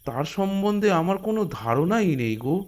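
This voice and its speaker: A man voicing a poor, honest, god-fearing village husband in a Bengali animated folk tale, with a West Bengal accent and a hesitant, stammering, earnest delivery. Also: high-pitched, moderate pace. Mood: earnest, cautious. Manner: hesitant, stammering, gently reassuring. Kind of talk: animated Bengali folk ghost story dialogue.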